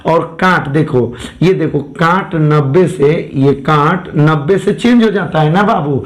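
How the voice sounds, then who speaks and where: A man teaching a math class with a hoarse voice, speaking with a tinge and coaxing students through each step. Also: fairly high pitch, unhurried. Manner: coaxing, repeats steps and checks understanding.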